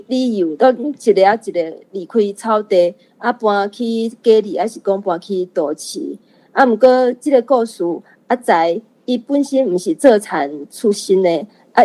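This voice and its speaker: A woman's voice: mid-range voice, warm tone, rough recording. A woman with a Taiwanese accent discussing a story and asking questions in a conversational way.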